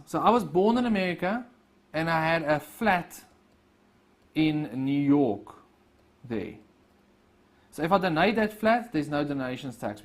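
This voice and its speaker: A man lecturing on tax law over slides, explaining with everyday examples and quoted asides. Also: on the high side. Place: voice-over on slide screen recording.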